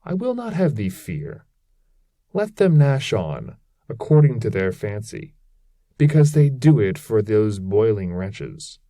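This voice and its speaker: Man voicing a fearless, measured poet guide. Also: lowish voice, very animated, dark tone, no echo, clean studio sound, exaggerated voice. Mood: reassuring, firm. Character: stern, fearless male poet guide facing down devils.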